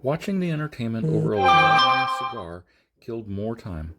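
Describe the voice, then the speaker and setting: A man reading a science fiction novel aloud as scripted narration, with a halting delivery that sometimes stumbles. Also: medium pitch, sing-song, over loud music. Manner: halting, stumbles and rereads phrases. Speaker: audiobook reader.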